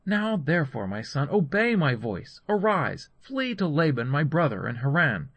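A man's voice: on the high side, big pitch swings, normal speed, husky, no room sound, pitched above the performer's own voice, exaggerated voice. A man voicing an anxious, earnest mother in a scripture story. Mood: urgent, worried. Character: anxious mother urgently counselling her younger son.

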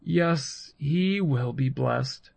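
A man's voice: medium pitch, extremely slow, vocal fry, a little mellow, dry recording, exaggerated voice. A man voicing an aged, solemn father who declaims to his sons as in a scripture story. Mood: grave, agitated.

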